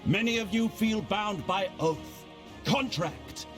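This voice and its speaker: An actor playing a commanding orator at a war council in a fantasy game, speaking in a formal, declamatory manner. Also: male voice, sits high, slow. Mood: rousing, grave. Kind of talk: fantasy game cutscene speech. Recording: projected voice over loud music.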